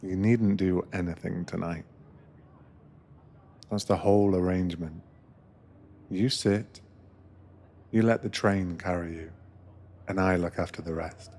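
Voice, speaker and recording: A man with a deep voice speaks very slowly in a scripted ASMR meditation. He plays an attentive host aboard a sleeper train, soothing and gentle, never hurrying the listener. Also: fairly even intonation. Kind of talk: ASMR guided meditation roleplay, scripted narration.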